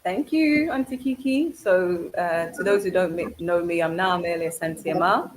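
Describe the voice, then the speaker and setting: A woman's voice: on the low side, moderate pace. A woman with a British accent, a guest introducing herself on a talk show in a friendly, fluent way. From United Kingdom. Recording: noisy call recording.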